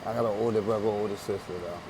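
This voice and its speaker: A man's voice: on the low side, steady tone, rapid-fire, heavy background noise. A man in his forties with a Philadelphia accent, talking casually in street slang, often repeating himself and restarting his sentences. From Philadelphia, USA. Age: 40s. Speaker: interviewee. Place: outdoors on a busy city street. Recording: outdoor street recording with passersby.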